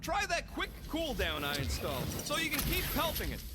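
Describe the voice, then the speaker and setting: A cocky raccoon mechanic from a video game, high in pitch and swinging freely up and down. He brags in folksy slang and needles his companions, with music behind him. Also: huge pitch swings, a little fast, heavily acted. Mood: impatient, needling. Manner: folksy slang, wisecracking, boastful. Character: cocky, gruff talking raccoon mechanic and gunner.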